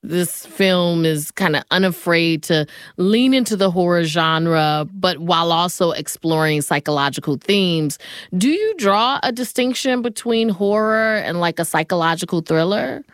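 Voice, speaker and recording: A woman's voice: lowish voice, unhurried, no echo, clean studio sound. A woman hosting a radio interview in a conversational broadcast style.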